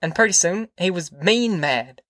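Man voicing an anxious Southern farm mother, pitched up. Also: animated, measured pace, a bit bright, no room sound, clean studio sound. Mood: earnest, pouring out. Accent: rural Southern American. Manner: hesitant, timid, earnest. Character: anxious, tender middle-aged farm mother. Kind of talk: audiobook character acting.

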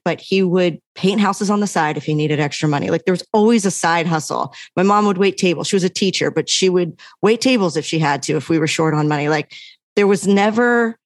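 A middle-aged woman from the United States talks as an interview guest in a low, easy voice. She is animated and laughs often as she speaks. The sound is dry and close to the mic. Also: dry recording. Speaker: guest, sports journalist. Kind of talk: casual interview talk.

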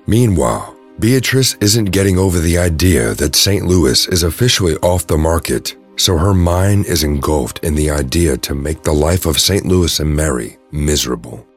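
Very deep-voiced male narrator over music. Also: lively intonation, moderate pace. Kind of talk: scripted audio drama narration.

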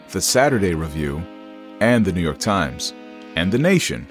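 A man's voice: fairly low pitch, darkish tone. A man co-hosting a podcast, speaking in an informal way. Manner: informal, slangy. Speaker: podcast co-host. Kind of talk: casual banter and scripted narration.

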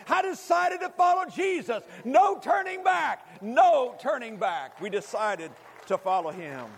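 A man in his fifties preaching a sermon, speaking emphatically with deliberate repetition and addressing the congregation directly. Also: sits high, slightly rough. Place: large hall, preaching to a congregation. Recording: long hall reverb.

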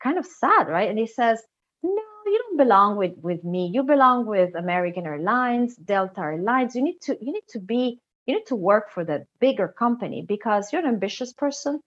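A woman's voice: fairly high pitch, very animated, moderate pace. A woman from Italy with an Italian accent, talking conversationally as an interview guest and telling stories. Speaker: guest, international recruitment director. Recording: slightly dull, lo-fi sound.

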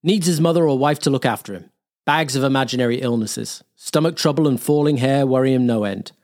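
A man's voice: medium pitch, brisk, darkish tone, close to an everyday voice. A man playing a wartime air force airman, reading a blunt, slangy diary in a terse, clipped manner. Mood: wry, dismissive. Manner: clipped, terse, matter-of-fact.

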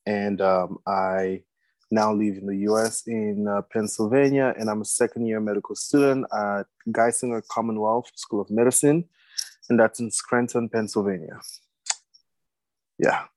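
A man's voice: on the low side, fairly even intonation, moderate pace, a little mellow, dry recording. A young man from Cameroon, talking casually as a guest in an interview about himself. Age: young adult. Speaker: podcast guest, medical student. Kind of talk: casual interview, personal account.

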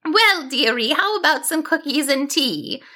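A sweet old grandmother from a children's story, put on by a woman. She sounds homely and kind, with a fairly high, bright tone. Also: expressive, unhurried, full character voice. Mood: kindly, perplexed. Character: kindly old grandmother offering tea.

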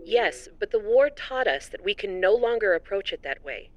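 An actress playing an assured, idealistic politician in an audio drama, delivering a formal speech in a measured, oratorical way. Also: female voice, mid-range voice, lively intonation, no room sound, dull audio, lightly acted. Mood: confident, resolute. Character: assured, idealistic female politician giving an address.

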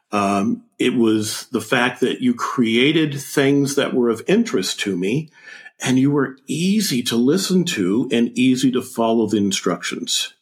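A man hosting a conversational interview, a bit halting and repeating words as he goes. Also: mid-range voice. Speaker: interviewer, host.

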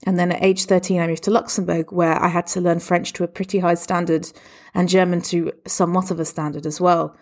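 A woman's voice: fairly low pitch, flat delivery, fairly quick, a little mellow. A woman from Portugal, raised in England, answering questions about learning languages in a fluent, emphatic way. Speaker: interviewee. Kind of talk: answering exam questions conversationally.

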